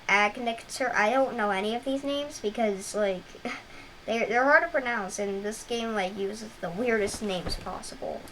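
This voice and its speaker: A woman's voice: mid-range voice, fairly bright tone. Young female gameplay host, scratchy, rambling and casual, noisy home recording. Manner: rambling, filler-heavy, frequent restarts.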